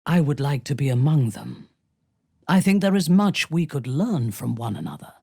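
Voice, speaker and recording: An actress playing a haughty, ruthless dark elf warrior in a video game, speaking in an imperious, measured, menacing way. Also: female voice, extremely low pitch, big pitch swings, gravelly, husky, no room sound, clean studio sound, exaggerated voice. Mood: cold, commanding. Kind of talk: video game character voice lines.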